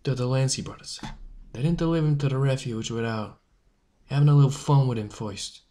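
A woman voicing a cocky, protective teenage newsboy leader in a lowered, gruff, streetwise voice for an amateur audio drama. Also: a little fast, mellow. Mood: grim.

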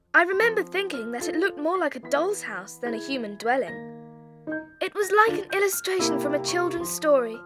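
An actress playing a young girl narrating her story, storybook style, with an English accent. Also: high voice. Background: children's instrumental music.

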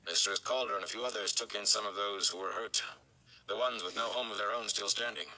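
Man playing a weary, low-voiced village blacksmith. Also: fairly quick, bright, forceful tone, low-quality recording. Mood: weary, matter-of-fact. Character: weary, sturdy male village blacksmith, gruffly reassuring.

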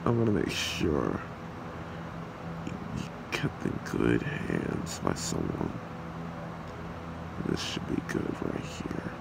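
A man's voice: a bass voice, slow, pauses often, full character voice. A man voicing a possessive, cruel demon husband with a menacing, taunting manner in an ASMR roleplay. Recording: clearly noisy home recording, steady hum.

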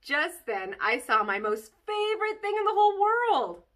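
Woman as an animated, excitable little storybook girl. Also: very high voice, moderate pace, pitched above the performer's own voice, exaggerated voice. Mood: excited. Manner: childlike phrasing, dramatic, bubbly. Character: silly, excitable, mischievous little kindergarten girl telling her story. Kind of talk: expressive children's storybook read-aloud.

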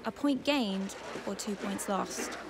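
An actress playing a brisk, professional post-match sports reporter putting TV interview questions in a video game. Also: female voice, medium pitch, noisy background.

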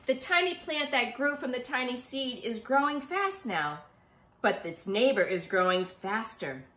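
A woman's voice: a little high, measured pace. A middle-aged woman who teaches preschool, reading a picture book aloud expressively and asking young children simple questions. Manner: expressive, emphatic, child-directed delivery. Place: small living room, indoors on a sofa. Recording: roomy home recording.

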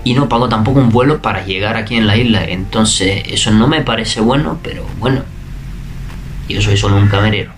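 Italian man speaking relaxed second-language Spanish. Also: lowish voice. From Italy. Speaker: interviewee, waiter. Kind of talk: casual interview answers. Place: bedroom indoors.

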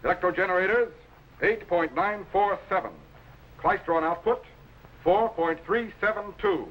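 A man's voice: high voice, moderate pace, muffled recording. A man playing a dry, authoritative explorer captain in a 1950s sci-fi series, speaking precisely. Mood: commanding. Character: wry, authoritative male space explorer captain. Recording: vintage film soundtrack, faint noise.

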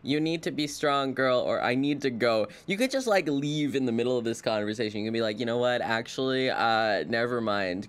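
A man's voice: medium pitch, a bit bright, smooth. A man streaming a video game with casual, rambling commentary, joking playfully and reading dialogue options aloud. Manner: playful, rambling, quick to joke.

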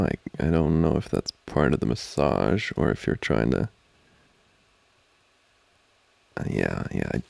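A man playing an amorous, giggly student boyfriend in an intimate ASMR roleplay, talking haltingly and teasingly close to the mic. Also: rumbling low, vocal fry, dry recording, full character voice. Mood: flustered, amused. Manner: halting, soft-spoken, chuckling, teasing. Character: amorous, giggly young male student boyfriend trying to study. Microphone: close microphone, dry.

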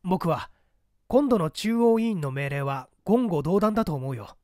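A man's voice: sits high, lively intonation, measured pace, a little mellow, dry recording, studio quality. A man voicing a defiant, sardonic party operative in an audiobook drama, arguing in formal, rhetorical speech. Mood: indignant. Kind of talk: dramatic audiobook character dialogue.

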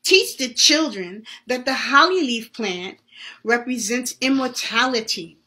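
A woman voicing a solemn, earnest Santa Claus in a read-aloud story, teaching slowly and emphatically. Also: fairly high pitch, expressive, bright, forceful tone. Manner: slow, emphatic, preacherly.

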